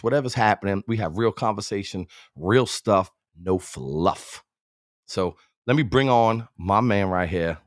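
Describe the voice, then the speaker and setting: Low-voiced, laughing New York man hosting. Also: no echo, clean studio sound. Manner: hypes guest, laughs often, calls him brother. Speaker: show host. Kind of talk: casual interview chat.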